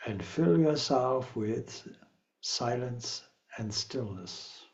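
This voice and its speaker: A man leading a guided meditation as a spiritual teacher, speaking calmly and in a measured way with long pauses. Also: medium pitch, muffled recording.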